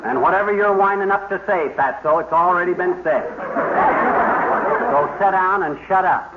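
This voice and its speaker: An actor playing a blustery, cheerful bumbling husband in a 1950s radio comedy, chummy and boastful. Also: male voice, high-pitched, moderate pace, over a noisy crowd, lightly acted. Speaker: sitcom husband. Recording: hissy lo-fi 1950s radio broadcast.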